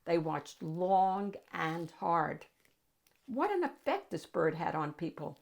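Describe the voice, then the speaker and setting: Animated woman reading a picture book. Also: lowish voice, vocal fry. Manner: dramatic pauses, drawn-out key words, addresses young listeners. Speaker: read-aloud host. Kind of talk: expressive picture book read-aloud.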